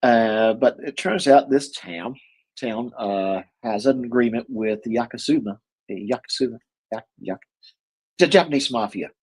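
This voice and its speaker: A man with a husky voice, co-hosting a casual chat about movies, rambling with lots of fillers and restarts. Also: mid-range voice, unhurried, darkish tone, poor audio. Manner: rambling, many fillers and restarts, chuckles often. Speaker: co-host.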